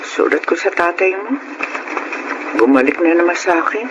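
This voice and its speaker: A woman's voice: on the low side, expressive, normal speed, lo-fi sound, close to an everyday voice. Lady acting a worried middle-aged mom, radio drama, noisy recording. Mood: caring. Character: worried, caring working-class middle-aged mother.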